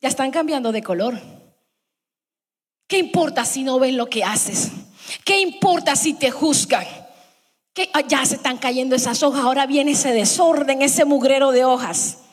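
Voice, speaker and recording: A woman's voice: high voice, moderate pace, husky, in a large hall. A middle-aged woman preaching a sermon to her congregation, emphatic and fond of repeating her lines.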